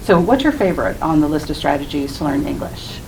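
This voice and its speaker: A woman moderating an author talk at a library, asking measured, prepared questions. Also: low-pitched, lively intonation, darkish tone, a little hiss or hum, rough recording. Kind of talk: interview questions. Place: public library room.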